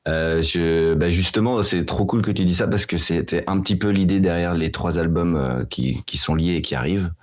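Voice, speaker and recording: A man's voice: low voice, normal speed, hardly any pauses, no echo, studio quality. A French man in a band, answering interview questions casually with many hesitations and restarts. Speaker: band member.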